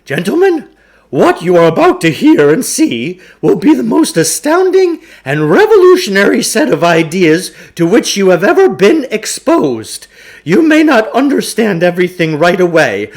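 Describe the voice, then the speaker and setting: A man playing a high-pitched, pompous showman lecturer. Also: wildly swooping pitch, normal speed, heavily acted. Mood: grandiose lecturing. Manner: grandiose, speaks of himself in third person. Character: pompous showman lecturer selling crackpot mind-control theories. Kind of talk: storybook character voice in a read-aloud.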